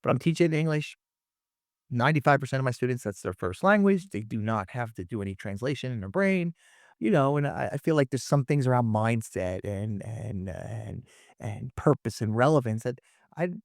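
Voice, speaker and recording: A man's voice: mid-range voice, animated, normal speed, creaky, dry recording. Man, conversational interviewer, mid-range voice, informal, rambling questions, dry studio-close sound. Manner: informal, discursive, often checking with "right?". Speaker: podcast host, history teacher.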